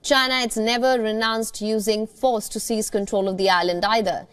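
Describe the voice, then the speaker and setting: A woman news anchor reading a scripted report in a crisp, formal way. Her voice sits somewhat high. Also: fairly even intonation, normal speed.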